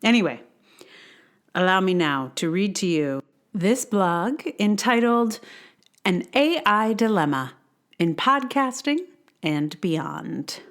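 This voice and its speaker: A woman's voice: mid-range voice, lively intonation, slow. A woman hosting a podcast, speaking in a conversational, wry way with emphatic stresses. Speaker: podcast host and blogger. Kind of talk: casual intro chat and read-aloud blog.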